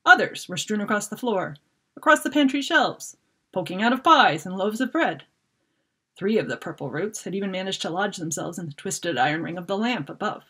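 A middle-aged woman author reading a children's fantasy story aloud in an expressive, dramatic way. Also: medium pitch, fairly quick, husky. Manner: animated, dramatic reader. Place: book-lined room at home.

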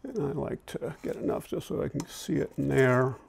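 A man in his sixties informally explaining and demonstrating gear, in a conversational, halting way that often backtracks. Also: medium pitch, vocal fry, darkish tone, slight background noise. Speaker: audio-visual technician and product reviewer presenting vintage tape gear. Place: indoor room at home, seated at a table.

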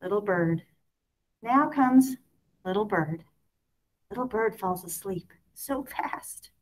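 A woman reads a children's story aloud expressively, in a sing-song way with drawn-out key words. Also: medium pitch, animated. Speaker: picture book reader.

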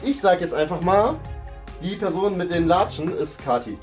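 A young man hosting a game in casual, playful German chat, animated and thinking aloud. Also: sits high, normal speed, soft background music. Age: young adult. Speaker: host and guesser in a group guessing game. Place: bright indoor studio room.

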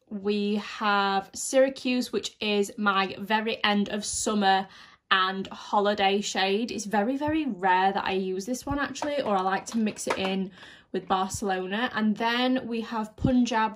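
A woman's voice: mid-range voice, background noise. A young woman in her twenties, slightly nasal from hay fever, chatting enthusiastically to camera in her makeup room at home. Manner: chatty, enthusiastic, repeats "really, really". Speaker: beauty vlogger. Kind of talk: casual vlog talk to camera. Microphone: camera in front, some close-up product shots.

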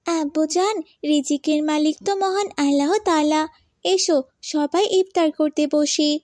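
A woman's voice: high-pitched, fairly even intonation, normal speed, a little mellow, no room sound, clean studio sound, close to an everyday voice. A woman voicing an earnest, devout and polite young girl in a children's moral cartoon.

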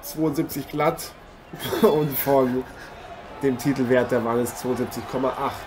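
A man's voice: medium pitch, steady tone, unhurried, natural voice. A man playing an eager, effusive TV boxing host in an improvised German audio drama. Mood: engaged. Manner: effusive, formal address to viewers. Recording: noisy arena ambience behind voice.

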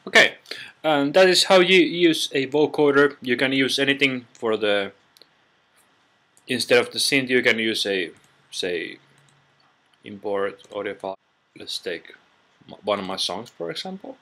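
A man narrating an informal software tutorial in a halting, step-by-step way, recorded on a built-in computer microphone. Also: medium pitch. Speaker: tutorial presenter.

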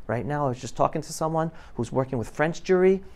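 A middle-aged man giving an impassioned, animated motivational talk to young people, with emphatic delivery. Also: a little high, fast talker. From New York City, United States. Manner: emphatic, animated, swinging between loud and hushed. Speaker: guest speaker addressing high school students. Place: classroom, indoors. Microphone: lapel mic.

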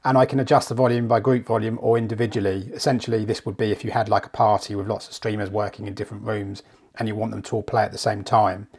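A man talks through an app screen by screen as a hifi reviewer, chatty and steady. His voice is croaky and gravelly from flu, and it sits fairly low. He explains each step plainly. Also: fast talker. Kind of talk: screen walkthrough tutorial. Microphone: voice-over.

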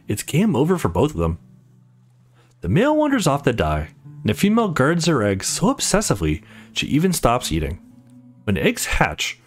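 A man voicing a wistful, reflective young visual novel character who loves nature trivia. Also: medium pitch.

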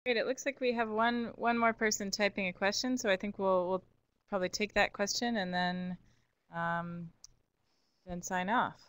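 A woman's voice: medium pitch, fairly even intonation, normal speed, a bit bright, no room sound. A woman moderating a webinar, reading audience questions out in an orderly way and passing them along.

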